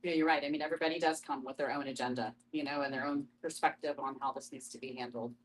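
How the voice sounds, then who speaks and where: A woman's voice: very deep voice, steady tone, fast talker, slight background noise, rough recording. A woman commenting as a participant in a casual group discussion.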